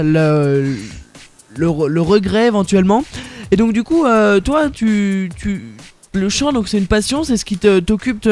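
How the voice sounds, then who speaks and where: A man's voice: high voice, darkish tone. A young man hosting a radio interview, talking casually with many fillers and restarts. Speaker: radio host.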